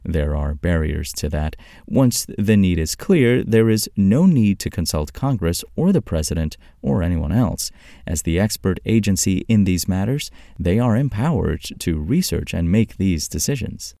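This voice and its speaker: A man narrating a scripted tech news article as a podcast host, in a clearly articulated, measured read-aloud delivery. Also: low-pitched, expressive, mellow, no echo, studio quality.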